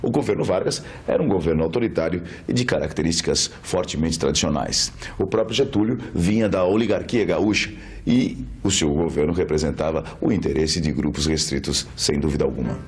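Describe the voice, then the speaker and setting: A man's voice: extremely low pitch, a little fast. A man with a Brazilian accent giving scripted educational narration. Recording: music bed under the voice.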